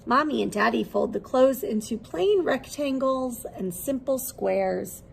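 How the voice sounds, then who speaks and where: A woman preschool teacher reading a children's book aloud expressively, with simple, deliberate questions for young listeners. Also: fairly high pitch, expressive, creaky, slight background noise.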